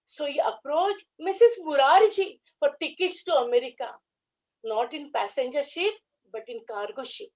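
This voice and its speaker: A woman giving an earnest devotional tribute talk, speaking in short phrases with pauses. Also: sits high, poor audio.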